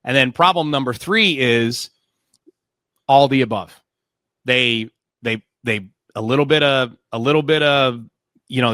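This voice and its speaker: A man's voice: mid-range voice, a bit bright, no echo, studio quality. A man hosting a tech interview, talking emphatically and often restarting his words. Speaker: podcast host. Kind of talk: casual interview.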